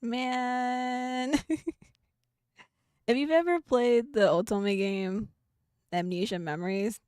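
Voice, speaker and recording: A woman's voice: fairly high pitch, steady tone, slow, darkish tone, smooth, no room sound, clean studio sound. Woman streamer gasping and swearing. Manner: swearing, laughing reactions. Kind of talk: gaming stream commentary.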